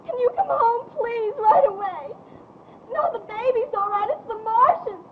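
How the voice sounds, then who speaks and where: A very high-voiced, frantic young babysitter pleads tearfully in a radio play. The sound is tinny and narrow, with clear noise behind it. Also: female voice, unhurried. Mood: tearful panic. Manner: breathless, tearful, urgent pleading. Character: panicked young female babysitter pleading on telephone. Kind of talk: radio drama acting.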